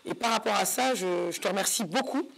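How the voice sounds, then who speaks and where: A woman's voice: medium pitch, a little fast, vocal fry, slight background noise, rough recording. Hesitant woman hosting a talk show. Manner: hesitant, searching for words, repeating phrases. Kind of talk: conversational interviewing.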